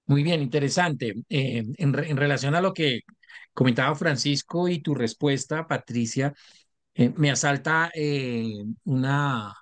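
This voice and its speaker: A man moderating a panel discussion, speaking in a measured, academic way with many fillers. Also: on the high side.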